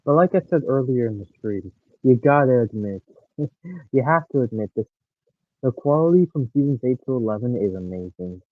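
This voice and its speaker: A man's voice: mid-range voice, moderate pace, no echo. A man in his late teens chatting as a stream guest, hesitant but opinionated. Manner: hesitant, animated, opinionated fan. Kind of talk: casual chat. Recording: tinny phone-like call line with faint hiss.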